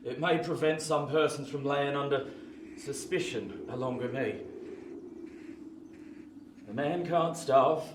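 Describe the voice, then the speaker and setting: An actor playing a rough, vengeful, defiant escaped convict in a radio play, with a forceful manner. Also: male voice, medium pitch, takes it slowly, pauses often, close to an everyday voice. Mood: subdued, gruff. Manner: forceful, vehement. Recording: roomy, distant, faint noise.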